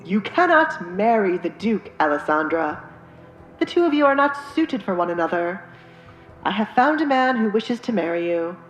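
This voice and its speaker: A woman's voice: medium pitch, sing-song, moderate pace, reverberant, soft background music, natural voice, poor audio. A woman voicing a stern, pushy father who demands obedience from his daughter, in dramatized audiobook dialogue. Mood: coaxing, insistent.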